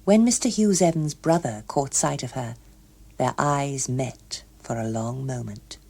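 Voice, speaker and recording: A British woman narrating a literary story as an expressive audiobook reader. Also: very deep voice, unhurried, creaky.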